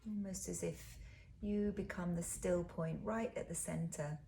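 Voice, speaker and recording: A woman leading a guided body scan as a relaxation teacher, speaking in a soothing, unhurried, gentle way, with soft breathy phrase endings. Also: on the low side, a little hiss or hum.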